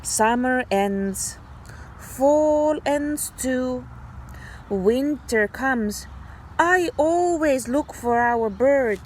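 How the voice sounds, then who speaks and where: Slow, high-voiced woman reading to children. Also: a little hiss or hum. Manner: animated, deliberate, child-directed storytelling. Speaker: teacher reading aloud to young children. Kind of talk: expressive children's read-aloud.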